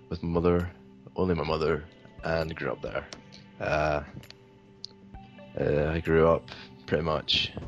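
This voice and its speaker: A man's voice: sits low, highs cut off. A man from Sweden answering interview questions in a hesitant, rambling way, full of fillers. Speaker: interview guest.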